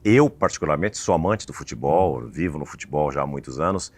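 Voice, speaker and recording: Male interview host, deep, rapid and chatty, dry close recording. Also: animated, no echo. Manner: fast, talkative, affirming. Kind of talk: interview questions.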